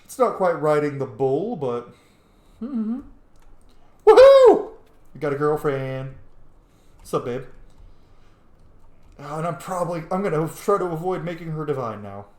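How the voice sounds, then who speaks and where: Young male game streamer. Chatty, exuberant commentary, very lively intonation, echoey room. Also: fairly high pitch, measured pace, pauses often. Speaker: gaming streamer. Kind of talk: casual, enthusiastic game commentary. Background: faint game music.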